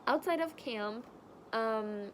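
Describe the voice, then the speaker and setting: A woman's voice: a little high, takes it slowly. A young woman from Germany with a German accent, chatting casually in a vlog and rambling with lots of fillers. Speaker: vlogger. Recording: faint background noise.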